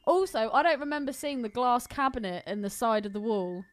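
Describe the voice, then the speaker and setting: A woman's voice: on the high side, animated, brisk. A woman co-hosting a podcast, chatting casually about a film with teasing, sweary banter. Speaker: podcast co-host. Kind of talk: casual film commentary chat.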